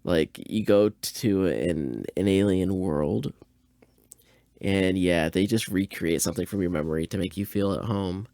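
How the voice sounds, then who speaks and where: A man's voice: deep voice, unhurried, mellow, no echo, studio quality. A man hosting a podcast, chatting informally and slangily in reaction to what he hears. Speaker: podcast host. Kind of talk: casual reaction chat.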